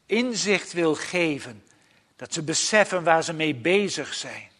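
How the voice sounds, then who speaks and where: A male pastor leads a congregation in solemn prayer. His voice sits a little high for a man and moves very slowly. He sounds earnest and pleading, setting out each measured phrase with pauses. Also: animated, a bit bright. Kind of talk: solemn extemporaneous church prayer.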